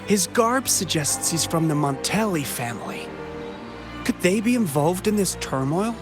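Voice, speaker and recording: An actor playing a flamboyant, excitable male filmmaker in a video game, speaking with florid, courteous grandeur. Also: high voice, a little slow, music in the background. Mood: earnest, helpful. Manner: florid, theatrical, effusive. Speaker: film studio head, non-player character. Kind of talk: theatrical game voice acting.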